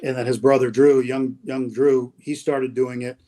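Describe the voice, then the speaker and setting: Middle-aged man, mid-pitched voice, easygoing and rambling, interview guest. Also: moderate pace. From New Hampshire, US. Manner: relaxed, rambling storyteller with many fillers. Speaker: radio baseball play-by-play announcer, guest. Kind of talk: casual interview conversation.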